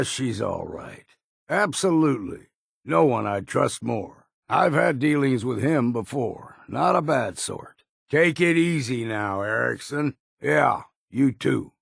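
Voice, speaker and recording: An actor playing a gruff, wary old islander sailor in a video game, with a gravelly, husky voice and salty, folksy, blunt talk. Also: male voice, mid-range voice, dry recording, clean studio sound, heavily acted. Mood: grudging respect. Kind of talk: scripted video game voice lines.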